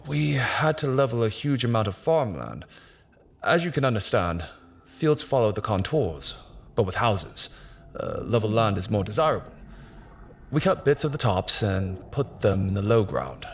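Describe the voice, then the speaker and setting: A man's voice: lowish voice, animated, normal speed, a little hiss or hum. An actor playing a grave, hesitant construction company man recalling something grim in an audio drama. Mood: grave, measured. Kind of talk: naturalistic audio drama dialogue, recounting in an interview.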